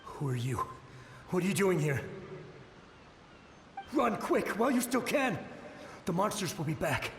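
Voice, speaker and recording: A desperate ghost soldier, echoing. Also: male voice, high-pitched, very animated, slight background noise. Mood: frantic warning. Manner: desperate, pleading, halting. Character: shell-shocked ghostly soldier, desperate, devoted. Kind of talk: video game dialogue. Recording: echoey, reverberant, faint music.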